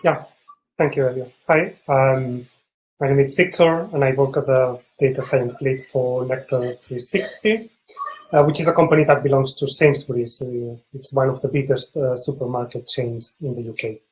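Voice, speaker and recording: A man's voice: mid-range voice, fairly even intonation, normal speed, a little mellow, slight background noise. Male panelist on slightly muffled audio. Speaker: data science lead, panelist. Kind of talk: professional self-introduction on a panel.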